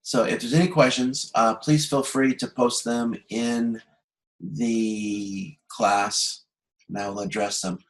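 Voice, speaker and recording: A man teaching an informal online class as instructor, explaining step by step in a halting, conversational way and checking that listeners follow. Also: medium pitch, fairly even intonation, rough recording. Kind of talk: informal online lecture to students. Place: video conference.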